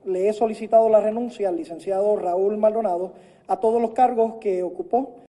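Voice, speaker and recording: A man's voice: high-pitched, steady tone, a little fast, warm tone. A man making a formal, firm public statement as a government official.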